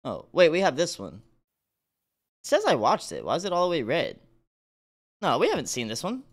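A man's voice: on the high side, wildly swooping pitch, normal speed. A man hosting a reaction video, commenting casually and enthusiastically in rambling, slangy speech. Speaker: reaction video host and streamer. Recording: game music playing behind the voice. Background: video game music.

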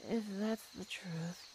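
Woman acting a slow-talking predatory cat monster girl. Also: mid-range voice, a little mellow, slight background noise, low-quality recording. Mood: teasing, curious. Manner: slow, menacing, teasing, with hums and sighs. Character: predatory feline monster girl, teasing and hungry. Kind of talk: audio roleplay, ASMR.

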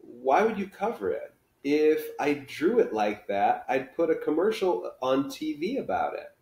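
A man's voice: on the high side, big pitch swings, measured pace, a little mellow, pitched above the performer's own voice. A man reading a children's book aloud as a bold, outspoken schoolgirl with a blunt, incredulous manner. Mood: impressed, incredulous. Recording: roomy living-room echo.

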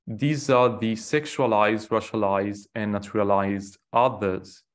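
Man giving a halting, measured lecture. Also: fairly low pitch. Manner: measured, halting delivery with frequent fillers and restarts. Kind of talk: academic lecture. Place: small room indoors. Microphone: webcam on a video call.

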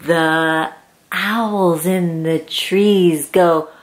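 A woman's voice: lowish voice, steady tone, very slow. A woman in her thirties reads a picture book aloud to young children in an exaggerated, sing-song, encouraging way. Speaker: children's read-aloud host. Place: small plain room indoors.

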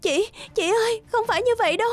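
A woman voicing a timid, anxious young kindergarten teacher in an animated drama, deferential and pleading. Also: extremely high pitch, quick pace.

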